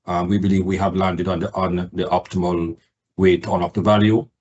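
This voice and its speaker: A man speaking as a company executive on an earnings call, answering in formal, hesitant, measured phrasing. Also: deep voice, level tone, darkish tone, lo-fi sound.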